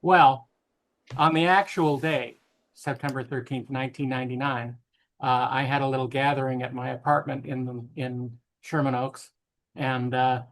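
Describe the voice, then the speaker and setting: A man co-hosting a podcast in relaxed, casual conversation. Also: medium pitch, normal speed, rough recording. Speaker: podcast co-host. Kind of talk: casual chat.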